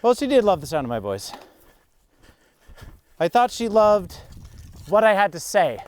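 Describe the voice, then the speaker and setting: A comedian co-hosting a podcast, chatting with wry jokes while walking an outdoor mountain trail. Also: male voice, high-pitched, sing-song, measured pace, darkish tone. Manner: wry, joking. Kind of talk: casual chat on a hike.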